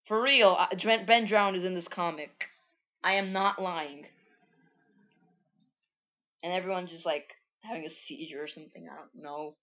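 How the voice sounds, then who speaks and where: A woman with a slightly low voice chatting through her old comic in a loose, rambling way, often stopping to correct herself. The sound is a little dull and lo-fi, like a simple home recording. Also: fairly even intonation, normal speed, pauses often. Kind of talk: casual reading and commentary.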